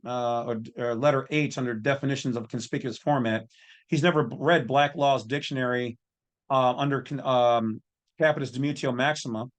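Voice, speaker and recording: Male online commentator, mid-pitched, folksy and opinionated, speaking off the cuff. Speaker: host of an online legal commentary channel.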